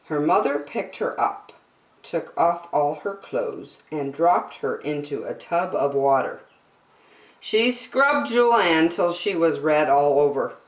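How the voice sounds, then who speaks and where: A woman reading a picture book aloud to children, expressive and deliberate in her emphasis. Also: low voice, distant mic. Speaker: children's story-time reader. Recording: echoey, roomy sound.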